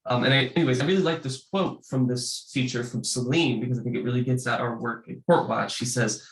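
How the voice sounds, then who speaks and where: Man, sniffly from a cold, halting slide presentation, roomy echo. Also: mid-range voice, fairly even intonation. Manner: hesitant, many ums and uhs. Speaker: presenter for an advocacy group. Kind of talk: panel presentation over slides. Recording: echoey room sound.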